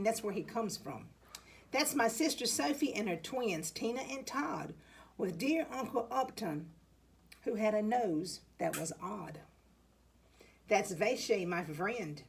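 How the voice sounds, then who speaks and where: Lady doing a storybook read-aloud for kids, slow n lively, bit creaky and husky. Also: medium pitch, slight background noise. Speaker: assistant principal reading a children's picture book aloud.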